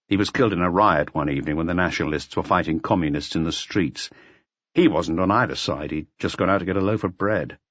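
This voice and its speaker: A man voicing a brooding, worldly middle-aged police inspector who explains things gruffly and emphatically in an audiobook. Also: on the low side, a bit bright, no echo, muffled recording. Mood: serious, emphatic.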